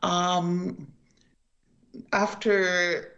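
A woman's voice: lowish voice, little pitch movement, extremely slow, fairly bright tone, lo-fi sound. An older woman from Costa Rica, a guest author in an interview, who talks in a chatty, storytelling way and tends to repeat herself. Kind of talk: interview conversation.

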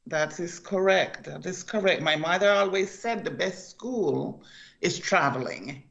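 Older woman with a gravelly voice on a muffled line. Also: fairly low pitch, unhurried. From Costa Rica. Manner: chatty, storytelling, repeats herself. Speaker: guest author. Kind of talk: interview conversation.

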